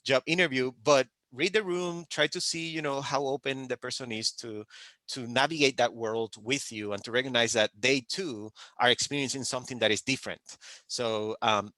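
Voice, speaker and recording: A Puerto Rican man with a native Spanish speaker's accent shares advice and anecdotes on a panel. His voice is bright and forceful at a middling pitch, and the sound is very dry. He talks emphatically, circling back over phrases. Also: fairly even intonation, normal speed, no room sound. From Puerto Rico.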